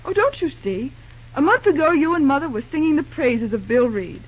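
An actress playing a spirited, independent young railroad office woman in an old-time radio drama, brisk, emphatic and outspoken. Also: high-pitched, very animated. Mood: frank, defiant. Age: young adult. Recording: dull old radio show recording.

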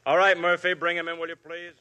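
A businesslike police inspector who asks probing questions in a bright, forceful voice. It is an old 1950s radio play. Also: male voice, on the high side, monotone, quick pace. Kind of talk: 1950s radio drama acting.

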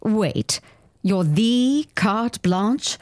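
A woman's voice: mid-range voice, animated, drawn-out words, a little mellow, no echo. A woman acting in an audio drama as a sly, self-serving schemer, with a languid, mocking drawl on her words. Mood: curious, skeptical.

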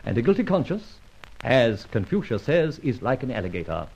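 Debonair, flippant, husky gentleman adventurer. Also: mid-range voice, very animated, moderate pace, full character voice. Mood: breezy, amused. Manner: urbane, mannered, playfully wordy phrasing. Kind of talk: old radio drama acting. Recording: muffled old radio transcription recording.

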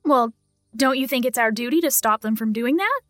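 Woman as idealistic young adventurer, earnest, high-pitched, dry sound, tabletop game. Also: animated, brisk, a bit bright, no room sound, soft background music. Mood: determined, pleading.

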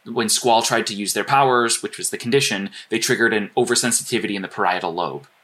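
An actor playing an earnest, apologetic strategist in an audio drama, speaking in a halting, analytical way. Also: male voice, on the low side, pushed and bright. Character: earnest, apologetic male strategist guiding teammate remotely. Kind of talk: naturalistic audio drama dialogue.

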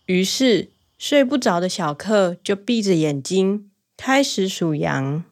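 A woman narrating a children's bedtime radio story in a gentle storytelling manner. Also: mid-range voice, slow pace. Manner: gentle, storytelling with lively exclamations.

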